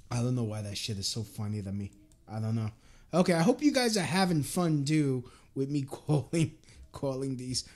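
A man's voice: medium pitch, sing-song, moderate pace, darkish tone. A man hosting a live prank call show in casual chat, often putting on earnest politeness.

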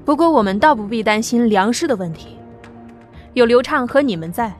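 Woman doing a worried young woman, pleading, somewhat high voice, soft music under it. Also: animated, brisk, close to an everyday voice. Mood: curious.

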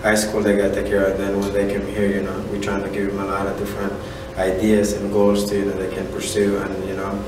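A man in his thirties from Honduras with a Central American Spanish accent, a native Spanish speaker, giving halting, casual interview answers as a soccer coach, often saying "you know". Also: fairly low pitch, completely flat delivery. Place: indoor room at a college. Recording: echoey room, distant mic, background noise.

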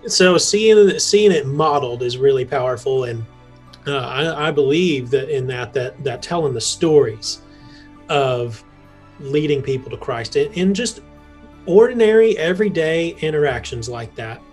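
Young guy hosting over a video call, a bit higher voice, affirming and jokey. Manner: affirming, joking. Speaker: host. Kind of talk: casual interview chat. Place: office.

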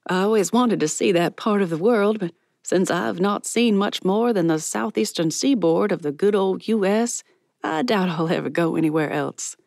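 A woman's voice: mid-range voice, normal speed, gravelly, darkish tone, dry recording, clean studio sound, lightly acted. A woman narrator voicing a sweet, curious older woman with a folksy, genteel manner in an audiobook. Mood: delighted.

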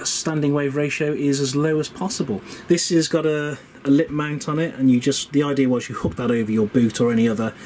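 A man's voice: mid-range voice, darkish tone. A middle-aged man, casually and enthusiastically showing gear on camera in an unscripted, chatty way. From England. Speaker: vlog host showing vintage radio gear. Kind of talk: casual unscripted product show-and-tell. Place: indoor workshop shed. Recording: clearly noisy room background.

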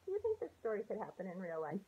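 A woman's voice: mid-range voice, wildly swooping pitch, very fast, phone-quality sound, slight background noise. A young adult woman reading a picture book aloud to children, expressively, with simple questions for her listeners, recorded indoors at home. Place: small room at home, indoors.

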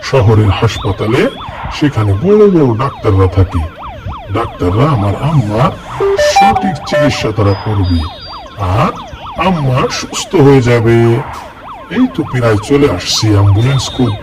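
A man voicing an earnest young village boy, narrating a cartoon in the first person with a lively, repetitive telling. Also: mid-range voice, normal speed, natural voice. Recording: cartoon voice-over with loud music. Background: background music.

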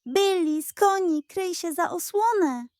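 A woman's voice: very high voice, lively intonation, a little slow, darkish tone, no room sound, studio quality, pitched above the performer's own voice. A woman voicing a brave male bison sheriff in a children's western story, decisive and commanding. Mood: urgent alarm.

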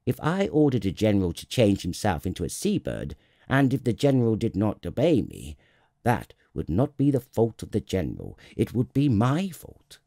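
A man's voice: lowish voice, wildly swooping pitch, normal speed, gravelly, dark tone, dry recording, studio quality, exaggerated voice. A man voicing a pompous but kindly absolute king in a storybook, vain and fond of giving orders. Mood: majestic, assured. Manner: pompous, imperious, sputtering.

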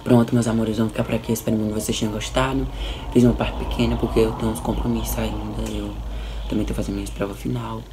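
Young guy kinda hoarse, chatty vlog to the camera, music in the back. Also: on the low side, little pitch movement, fast talker. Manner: chatty, playful, teasing asides. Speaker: vlogger. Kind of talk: casual commentary on soap opera clips. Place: small indoor room by a curtain.

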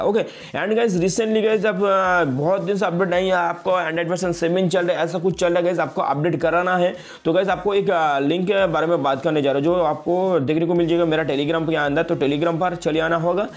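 A young man hosting a casual tech tutorial, repeating himself and mixing English words into his talk. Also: fairly high pitch. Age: young adult. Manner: repetitive, frequently addresses viewers as guys, mixes in English words. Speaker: tech video host. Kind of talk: casual phone update tutorial.

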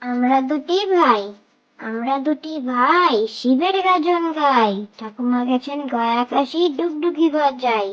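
A woman with a high voice recites nursery rhymes for children. She delivers them in short, sing-song phrases. The recording sounds slightly dull and lo-fi. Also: measured pace.

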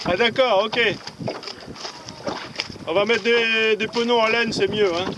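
A man's voice: high-pitched, fairly even intonation, measured pace. A man giving casual, encouraging sailing coaching outdoors on a boat, often repeating his instructions. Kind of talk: casual coaching and commentary on the water. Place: outdoors on a boat at sea near shore. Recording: loud wind and boat noise, distant mic.

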